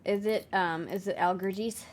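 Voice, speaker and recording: A woman's voice: mid-range voice, steady tone, normal speed, a little hiss or hum. A woman co-hosting a game stream, chatting casually and swearing when something startles her. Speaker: let's play co-host.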